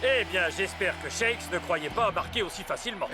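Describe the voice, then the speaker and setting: Casting: theatrical cartoon match commentator, high voice, swooping delivery, excitable, music loud behind. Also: male voice, moderate pace. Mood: excited. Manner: theatrical, exclamatory. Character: excitable male football commentator.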